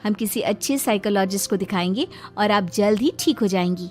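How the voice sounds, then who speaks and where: A woman voicing a caring young daughter-in-law in a cartoon moral story, polite, patient and clear in her reasoning. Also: mid-range voice, fairly quick, soft background music, close to an everyday voice. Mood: calm, explaining. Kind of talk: Hindi animated moral story acting.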